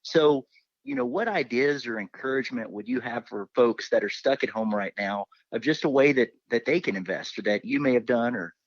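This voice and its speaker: A man's voice: mid-range voice, fairly quick, dry recording, dull audio. A middle-aged man asking casual, roundabout interview questions as a co-host.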